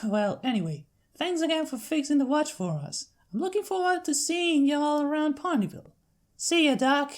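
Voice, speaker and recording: A woman's voice: high voice, animated, normal speed, pitched above the performer's own voice. A woman voicing a friendly, plain-spoken young country mare with an American Southern accent and a folksy, hearty manner. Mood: cheerful.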